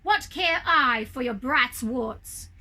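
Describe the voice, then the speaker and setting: Storybook wizard, performed by a woman: bad-tempered, dismissive, high and forceful. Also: animated, slow pace, exaggerated voice. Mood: scornful anger. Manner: harsh, dismissive. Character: irritable, scornful wizard son, shouting angrily.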